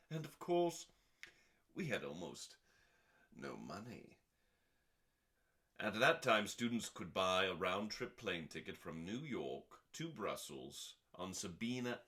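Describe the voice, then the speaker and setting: A man hosting a dramatic scripted reading in a deliberate, theatrical manner with pauses and dry, deadpan delivery. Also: low-pitched. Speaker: host.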